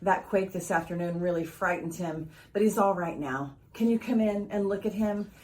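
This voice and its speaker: A woman's voice: medium pitch, normal speed, darkish tone, close to an everyday voice. A woman playing a devoted, anxious wife and mother on an alien world, earnest and melodramatic in retro radio-theater style. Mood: worried, devoted.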